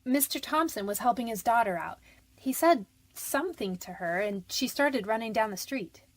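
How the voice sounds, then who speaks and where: A scared young female convict played by a woman in a radio crime drama, speaking in a fairly high voice. She is defensive and slangy, putting up a tough front with a pleading edge underneath. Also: expressive, normal speed. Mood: anxious recounting. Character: frightened, defiant young woman convicted of manslaughter. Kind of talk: radio detective drama acting.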